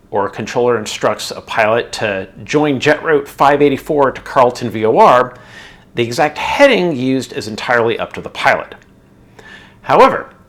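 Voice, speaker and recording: A man's voice: mid-range voice, a bit bright. A man delivering scripted, methodical instructional narration, leaning on transition words as he explains step by step. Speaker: instructor, video host.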